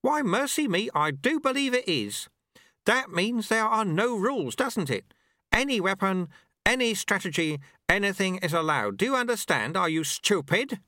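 A man's voice: sits high, big pitch swings, moderate pace, sharp tone, dry recording, voice pushed up, full character voice. A man puts on a sly old monk master with a raised, sing-song voice that swoops up and down. He teases in a dry, mocking way and never hurries, a storybook sage who enjoys unsettling his pupil. The sound is close and dry. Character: sly, teasing old male monk and martial arts master. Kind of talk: audiobook character voice.

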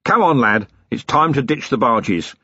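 A man doing a gruff police commander in a storybook reading, giving clipped orders in a raised, husky voice. His voice swings up and down as he takes charge. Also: mid-range voice, animated, unhurried, pushed and bright, no echo, muffled recording, exaggerated voice. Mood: commanding. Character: gruff male city police commander, tired but commanding.

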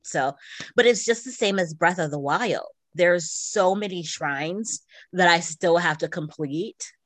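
A woman's voice: on the low side, a little slow, no room sound. A middle-aged woman co-hosting a podcast, chatting casually about her hobbies in an informal way. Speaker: podcast co-host. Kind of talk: casual chat about video games.

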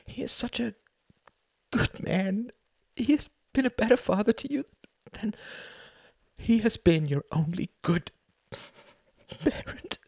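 A man voicing a grieving adult son in an audiobook, speaking in a hushed, whispery, emotional way. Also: high voice, expressive, slow, gravelly, pauses often, husky, no room sound, pitched above the performer's own voice, heavily acted. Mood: anguished.

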